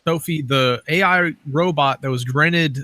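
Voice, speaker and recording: A man's voice: on the high side, measured pace, sharp tone, dry recording. A man hosting an interview, setting up questions for his guest in a conversational style. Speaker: host, interviewer.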